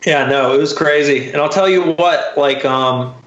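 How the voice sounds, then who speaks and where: A young American man telling personal stories casually as a podcast guest, in a halting, candid, profane way full of fillers. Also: on the high side, a bit bright, reverberant, lo-fi sound.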